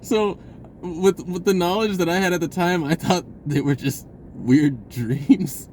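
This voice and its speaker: A man telling a personal story in a casual, rambling, informal way with an animated, giggly delivery. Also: sits high, measured pace, a little hiss or hum.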